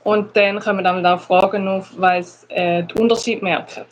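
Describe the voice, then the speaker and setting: A woman's voice: mid-range voice, steady tone, moderate pace, a little hiss or hum. A woman from Schaffhausen with a Swiss German accent that has touches of Zurich, chatting hesitantly as a guest teacher, with frequent fillers. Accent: Schaffhausen Swiss German with some Zurich. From Schaffhausen, Switzerland. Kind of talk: casual conversation.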